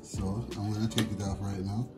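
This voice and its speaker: A man in his fifties with a soft, quiet voice, giving short low-key answers while demonstrating a recipe in his home kitchen. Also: flat delivery, brisk. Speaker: home cook. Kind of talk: cooking demonstration. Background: background music.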